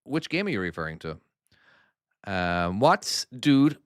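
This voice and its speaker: A man's voice: lowish voice, big pitch swings, unhurried, clear, resonant tone, no echo, clean studio sound. An Irish man who makes trucking games, chatting casually and self-deprecatingly on a live stream while playing and answering chat. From Ireland. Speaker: game developer streaming his own game.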